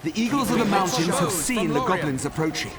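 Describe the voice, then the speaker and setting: A man's voice: sits high, animated, fairly quick, over a noisy crowd. A male actor playing an urgent battle advisor, giving instructions in a fantasy strategy game. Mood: instructive. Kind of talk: game mission briefing. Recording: distant voice under loud battle game noise.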